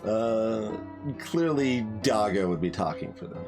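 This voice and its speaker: A man's voice: fairly low pitch, animated, a little slow, over music. A man who streams games, talking casually as he plays and comments. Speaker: game streamer. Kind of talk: casual game commentary and reading dialogue aloud.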